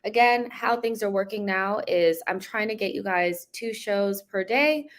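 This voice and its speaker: A woman's voice: medium pitch, a little slow. A young woman hosting a casual live stream, chatty and upbeat, with many fillers. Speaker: host.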